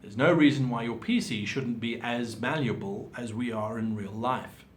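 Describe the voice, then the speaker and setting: A man's voice: medium pitch, normal speed, slight background noise. A man giving an instructional monologue as a host, articulate and emphatic in his explanations. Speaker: host giving tabletop role-playing advice.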